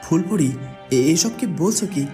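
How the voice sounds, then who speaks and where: A man voices a kindly young prince in a fairy-tale cartoon. His tone is mellow and rounded, with an earnest, questioning delivery. Music plays softly beneath. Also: sits high, animated, moderate pace. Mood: kindly, pleased. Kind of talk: Bengali cartoon voice acting.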